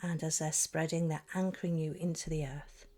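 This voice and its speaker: A woman leading a guided meditation in a soft, breathy voice that often trails toward a whisper, phrasing slowly and soothingly with deliberate pauses. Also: low-pitched, fairly even intonation.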